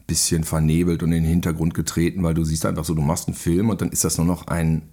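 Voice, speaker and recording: A man's voice: sits low, level tone, fast talker, dark tone, breathy edge. A man talking casually as a podcast guest, telling rambling, self-deprecating anecdotes. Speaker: podcast guest, film director and radio play writer. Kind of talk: casual chat.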